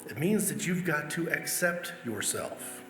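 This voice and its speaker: Guy reciting speech quotes, highish voice, big echoey room, faint music behind. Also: animated, unhurried. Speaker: church member reading quotes. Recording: echoey hall, music underneath.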